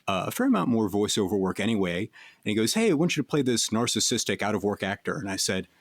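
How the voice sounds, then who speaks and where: A man's voice: lowish voice, very animated, fast, slight background noise. A man telling a casual anecdote as an interviewed voice actor in a group. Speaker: voice actor, interviewed cast member.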